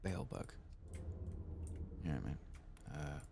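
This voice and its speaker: A man giving hushed, laid-back ASMR game commentary, speaking softly and casually close to the mic. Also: rumbling low, animated, slow. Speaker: ASMR gaming host. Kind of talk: soft-spoken and whispered ASMR game commentary. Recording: clear background noise and game ambience.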